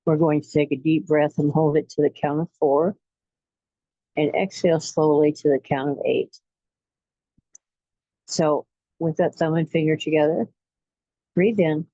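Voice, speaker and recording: Slow, mellow woman guiding relaxation. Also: low-pitched, fairly even intonation, no room sound, lo-fi sound. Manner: soothing, unhurried, pausing between short phrases. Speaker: guided imagery facilitator. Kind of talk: guided relaxation meditation.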